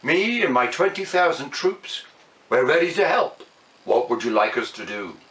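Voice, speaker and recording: A man's voice: medium pitch, sing-song, normal speed, a bit bright. A man voicing the queen of the red ants, formal, gracious and polite. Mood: resolute. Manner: stately and polite. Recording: echoey room, mic at a distance.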